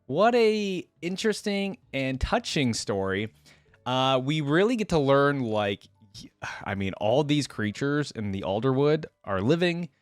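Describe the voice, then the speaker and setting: A man's voice: medium pitch, sing-song, unhurried, dry recording, soft background music. A man giving casual, rambling reaction commentary, peppered with "like" and "you know". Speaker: YouTube reaction host. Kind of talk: casual reaction commentary and read-aloud story.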